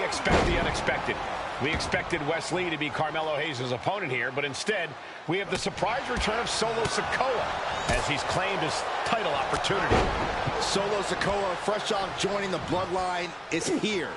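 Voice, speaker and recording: A man calling wrestling as a commentator, with excited play-by-play delivery. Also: sits high, normal speed. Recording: very noisy game broadcast audio.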